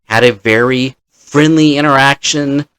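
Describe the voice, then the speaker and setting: A man in the role of dungeon master, casually telling a story from his game session in a halting, deliberate manner. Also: medium pitch, fairly bright tone, clean tone, no room sound.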